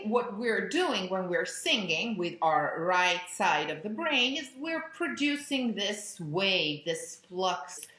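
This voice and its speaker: A woman, an opera coach explaining vocal technique with vivid imagery. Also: mid-range voice. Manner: expressive, imagery-rich teaching. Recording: roomy echo.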